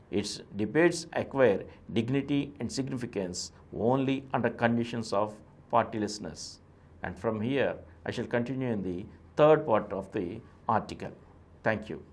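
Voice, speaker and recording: A man with an Indian accent reads an essay aloud as a presenter. His voice sits at a middling pitch. He phrases each statement formally and deliberately, leaning firmly on key words. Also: animated. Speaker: reader and presenter. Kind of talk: reading a political essay aloud.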